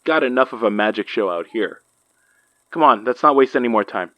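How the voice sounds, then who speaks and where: An actor playing an impatient, sceptical friend on lookout in an audio horror drama, gruff and grumbling. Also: mid-range voice, animated, fairly quick, no echo, lightly acted. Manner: grumbling, blunt. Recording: slightly muffled, dry.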